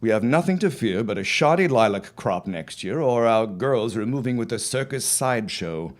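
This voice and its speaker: A man acting a confident, dismissive farmer husband of the early 1900s in an audio drama, with formal, deliberate phrasing. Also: mid-range voice, expressive, lightly acted. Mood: breezy, confident.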